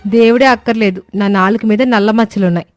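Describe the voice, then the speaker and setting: A woman's voice: mid-range voice, steady tone, fast talker, no echo, faint music behind, natural voice. A woman voicing a cheerful but stubborn young village daughter-in-law in a cartoon story, earnest and pleading. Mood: defiant. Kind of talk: cartoon moral-story voice acting.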